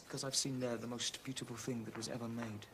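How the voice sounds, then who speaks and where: A man's voice: medium pitch, level tone, fast, background noise, natural voice. An actor playing a dreamy, bewitched young prince in an audio drama, using formal archaic speech. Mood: entranced. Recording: loud music behind the voice.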